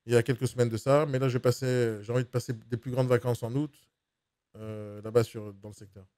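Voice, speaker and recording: A man's voice: medium pitch, level tone, fairly quick, warm tone, no echo. A man posing as a buyer on a phone call, pressing hard and repeating himself to get his way. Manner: pushy, insistent, repeats himself. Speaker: mystery shopper posing as buyer.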